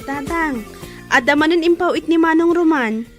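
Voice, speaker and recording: Woman playing young ilocano daughter, radio drama, really high pitched, reassuring, bit of music behind. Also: normal speed, close to an everyday voice. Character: dutiful young working daughter, gently reassuring.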